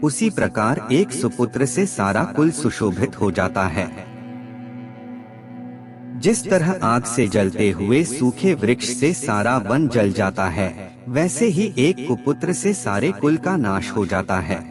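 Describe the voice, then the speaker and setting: A man reading scripted moral maxims as a narrator, in a measured, instructive manner. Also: medium pitch, big pitch swings. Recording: clear background music under voice. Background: music.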